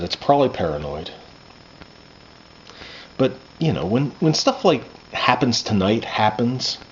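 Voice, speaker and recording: Muffled recording, man reading a novel aloud. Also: medium pitch. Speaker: author reading own novel. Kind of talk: audiobook-style first-person fiction reading. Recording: muffled lo-fi with background noise.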